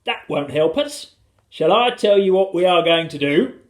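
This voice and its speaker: Storybook father, shrunk tiny played by a narrator: commanding, indignant, animated pitch, punchy delivery. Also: unhurried, lightly acted. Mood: bossy, determined. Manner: loud, emphatic, commanding. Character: tiny man turned winged, bossy indignant father.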